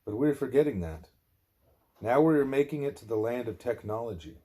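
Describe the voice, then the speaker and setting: A man's voice: medium pitch, lively intonation, normal speed, mellow. Man reading a spiritual discourse aloud. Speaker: audiobook reader.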